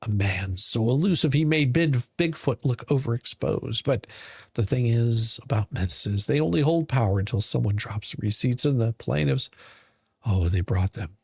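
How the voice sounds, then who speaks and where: A man narrating a scripted story in a dramatic, mocking manner, with deliberate theatrical pauses. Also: medium pitch, expressive, vocal fry, darkish tone, breathy edge, dry recording. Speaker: podcast narrator.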